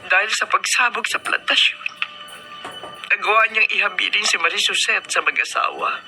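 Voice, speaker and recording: A patient, remorseful middle-aged mother speaks in earnest radio-drama style. She pleads gently with her estranged daughter, over a clear music bed. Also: medium pitch, normal speed. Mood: sorrowful, reassuring. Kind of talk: Filipino radio drama acting.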